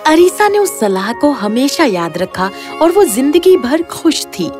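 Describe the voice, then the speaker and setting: A female storyteller reads a scripted fairy tale. Her voice is high and moves in lively, animated contours. Music plays clearly behind the narration. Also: unhurried. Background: background music.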